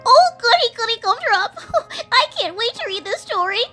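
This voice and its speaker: Squeaky giggly childlike sidekick. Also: female voice, sing-song, moderate pace, bright, forceful tone, faint music behind, full character voice. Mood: excited. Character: excitable childlike storytime sidekick.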